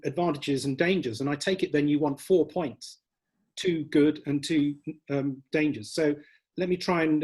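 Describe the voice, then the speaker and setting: A man speaking as a leadership candidate at a hustings, measured and slightly formal in his debating. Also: fairly high pitch, darkish tone, slightly rough. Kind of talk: hustings speech.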